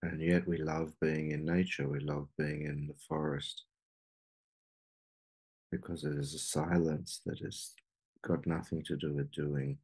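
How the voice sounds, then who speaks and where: A man leading a guided meditation in slow, unhurried phrases with long pauses. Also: rumbling low, level tone, darkish tone, slightly rough.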